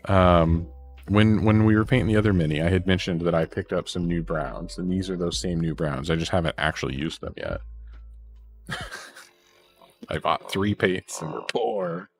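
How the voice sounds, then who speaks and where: A man chatting casually during a livestream while he paints miniatures, relaxed and rambling, often correcting himself. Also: deep voice, normal speed, vocal fry, faint music behind.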